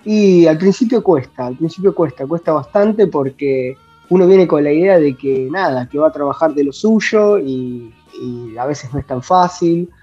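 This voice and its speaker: Mellow Argentine man on a dull line. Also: fairly high pitch, moderate pace, few pauses, husky, soft background music. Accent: Rioplatense Spanish. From Buenos Aires province, Argentina. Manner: easygoing, talks in loose rambling runs. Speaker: interview guest. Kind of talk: casual interview over a remote call.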